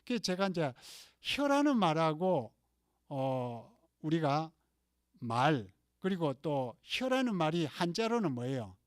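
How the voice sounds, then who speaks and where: Male pastor with a Gyeongsang accent, conversational sermon full of rhetorical questions, fairly high voice, dry recording. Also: sing-song, slow pace, no room sound. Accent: Gyeongsang Korean. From Gyeongsang region, Korea. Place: church.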